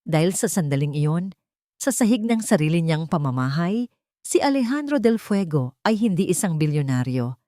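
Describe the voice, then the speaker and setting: A woman reading a scripted dramatic story in a solemn, emotive manner with deliberate pauses. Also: on the low side, very animated, mellow, no room sound, clean studio sound. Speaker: audio drama narrator.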